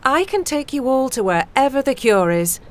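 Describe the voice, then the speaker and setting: A woman playing a helpful, chatty former pilot in an amateur audio play, speaking in a deliberate, friendly way. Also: sits high, big pitch swings. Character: helpful, chatty female ex-pilot offering a rescue flight. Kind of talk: amateur audio drama acting.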